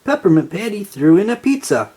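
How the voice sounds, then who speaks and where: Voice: medium pitch, big pitch swings, brisk, dark tone, breathy edge, pitched above the performer's own voice. A narrator voicing an earnest, anxious boy who manages a baseball team in a children's storybook. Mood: pleased.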